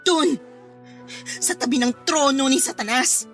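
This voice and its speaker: Give the radio drama a hurt, angry wife, with a high voice. She is bitter and confrontational, scolding with raw emotion over faint music. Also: a little slow. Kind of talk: Filipino radio drama acting.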